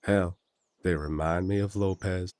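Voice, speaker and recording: Guy doing a big brother country werewolf, low voice, cheery n folksy, blunt teasing, audio rp. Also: fairly even intonation, measured pace, darkish tone, no room sound. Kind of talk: immersive roleplay audio drama.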